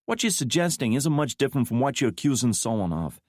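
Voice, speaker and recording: A tough, sharp-tongued woman agent who presses hard with pointed questions, put on by a male narrator. The voice sits far higher than his own and rises further when she pushes. The sound is dry and close. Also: sing-song, quick pace, no room sound. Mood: confrontational. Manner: pointed, challenging, exasperated.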